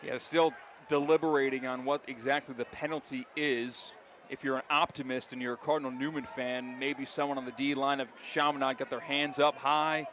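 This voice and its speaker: An American man calling live football play-by-play, descriptive and animated, from an outdoor stadium. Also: medium pitch, moderate pace. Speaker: play-by-play announcer. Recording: live stadium broadcast with loud background noise. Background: loud stadium noise.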